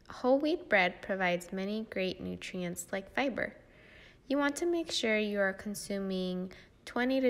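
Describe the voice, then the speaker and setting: A young woman hosts a cooking demonstration in a medium-pitched voice. She talks through each step in an explanatory, instructional way. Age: young adult. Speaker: cooking demo host, health educator.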